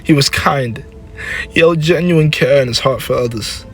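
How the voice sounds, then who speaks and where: A man's voice: medium pitch, normal speed, breathy edge, faint music behind, pitched above the performer's own voice. A man voicing an anguished, scolding mother of a grown warrior in an emotional, scornful manner for a dramatic story. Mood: bitter reproach.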